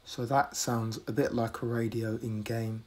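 Man, monotone delivery, mid-pitched, measured, deadpan, casual product reviewer. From United Kingdom.

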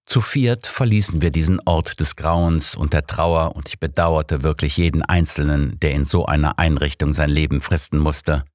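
A man narrating a scripted audiobook story in the first person, expressive and measured. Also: rumbling low, dry recording, studio quality.

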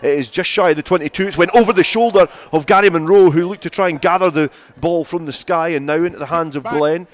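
A man's voice: fairly high pitch, quick pace, few pauses. A man giving live play-by-play rugby commentary from the ground.

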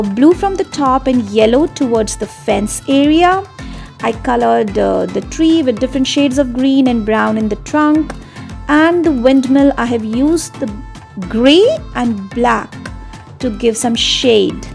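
A woman narrating a step-by-step drawing tutorial for children in an unhurried, explanatory way. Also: a little high, creaky.

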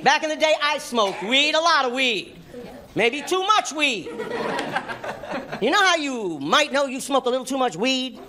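An older man from New York City doing stand-up comedy, emphatic and animated, performing to a crowd. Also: very high voice, unhurried. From New York City, United States. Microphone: stand microphone on stage. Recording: noisy comedy club with audience.

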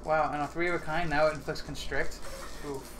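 A man's voice: fairly high pitch, steady tone, brisk. A man chatting casually at a tabletop game on a livestream, explaining rules as he plays. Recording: noisy livestream room audio.

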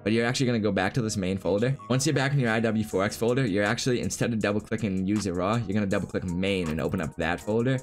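A man's voice: fairly low pitch, fast talker, few pauses. A man in his twenties giving a casual, informal tutorial, rambling a little as he explains step by step. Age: 20s. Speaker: gaming video creator. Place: small room indoors at home. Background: background music.

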